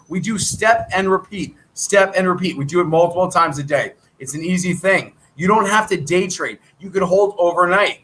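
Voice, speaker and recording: A middle-aged man with a high, bright, edgy voice runs a live trading chat. He rattles through numbers in a brisk, salesy patter, thinking out loud as he goes. His voice is wearing thin, as if he is losing it. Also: low-quality recording. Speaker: trading coach.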